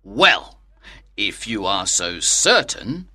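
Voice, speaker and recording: An actor playing a sceptical, smugly amused English club gentleman who goads theatrically in a dramatized audiobook. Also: fairly low pitch, big pitch swings, heavily acted. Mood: mocking, challenging. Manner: mocking, drawn-out, theatrical.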